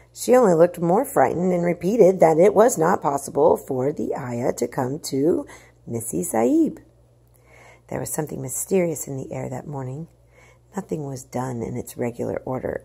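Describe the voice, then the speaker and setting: A woman's voice: low-pitched, vocal fry, dark tone, breathy edge. A woman reading a children's story aloud with dramatic, emphatic expression. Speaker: storybook reader.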